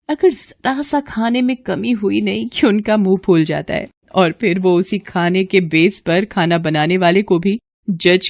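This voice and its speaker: A woman's voice: medium pitch, a little mellow, no echo, natural voice. A woman voicing a sly young daughter in a cartoon, coaxing and conspiratorial as she schemes. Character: sly young daughter plotting against her sister-in-law.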